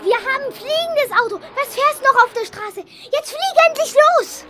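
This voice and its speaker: Very high-pitched schoolboy playing a male scientist, eager and bossy, for an amateur kids' radio play. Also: lively intonation, normal speed, sharp tone, heavily acted. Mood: urgent, commanding. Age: child, primary school.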